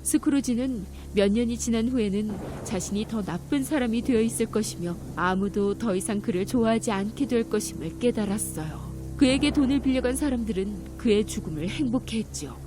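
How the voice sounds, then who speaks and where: A woman's voice: fairly high pitch, fairly quick, few pauses. Woman narrating a children's story. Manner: gentle, clear storytelling. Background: music.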